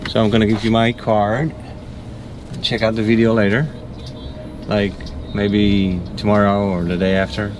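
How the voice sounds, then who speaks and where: A man's voice: fairly low pitch, level tone, measured pace. A man driving a car, chatting in a friendly way and asking his passenger lots of questions. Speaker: rideshare driver and host. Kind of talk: casual chat. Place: inside a car. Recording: car recording with road noise. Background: car and air conditioning noise.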